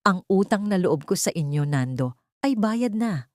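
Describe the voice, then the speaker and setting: A woman's voice: lowish voice, animated, moderate pace, darkish tone, dry recording, studio quality, natural voice. A woman storyteller playing a wronged former fiancée who speaks with cold, weary and accusing resolve in a romance drama. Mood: bitter, resolute.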